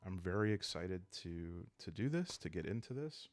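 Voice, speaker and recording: A man speaking calmly and soothingly in an unhurried way, hosting a relaxing role-playing series meant to help listeners fall asleep. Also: sits low, no echo. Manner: calm, unhurried, soothing delivery. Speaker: host of a solo role-playing video series. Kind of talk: calm, sleep-aid ASMR narration to viewers. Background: background music.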